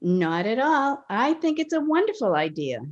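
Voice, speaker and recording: Woman playing a very high-voiced, polite maid. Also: very animated, normal speed, a little mellow, muffled recording. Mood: obliging. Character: obliging, polite household maid. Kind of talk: old-time radio sitcom acting.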